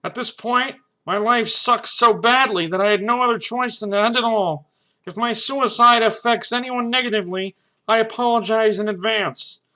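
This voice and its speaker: A man's voice: high-pitched, fairly even intonation, normal speed, pushed and bright, voice pushed up. A man voicing a despairing male puppet, mournful and formal, as if reading out a diary.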